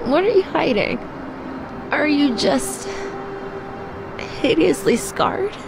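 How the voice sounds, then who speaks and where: A woman's voice: high voice, expressive, takes it slowly, vocal fry, background noise, exaggerated voice. A woman playing a tough, streetwise young woman who is wounded and out for revenge, in an audio drama. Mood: urgent. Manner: defiant, streetwise. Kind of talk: film-style audio drama acting.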